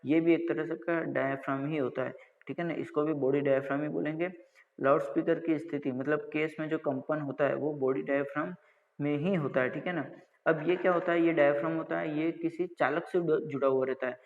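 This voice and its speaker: In a dull recording with long reverb, a man gives a technical lecture. His voice sits slightly high for a man. He teaches step by step, posing questions, answering them himself and repeating little check phrases. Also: steady tone, fairly quick. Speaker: college lecturer.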